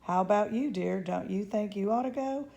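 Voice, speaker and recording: A woman reading aloud as a calm, caring mother who speaks in a gentle, reasoning tone. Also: medium pitch, moderate pace, vocal fry, pitched above the performer's own voice, lightly acted. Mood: soothing.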